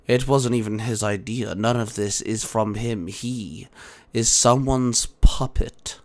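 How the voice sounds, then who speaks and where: A man voicing a terse, ominous informant in an audiobook, speaking deliberately with dramatic pauses. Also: on the low side, fairly even intonation. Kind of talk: dramatic audiobook character acting.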